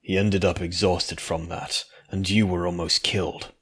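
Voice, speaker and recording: A loyal male earth pony from a fantasy story voiced by a man. He mutters in a low register, quiet and sombre. His manner is humble and deferential. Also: little pitch movement, moderate pace, slightly rough. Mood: brooding. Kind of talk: dramatic fan fiction reading.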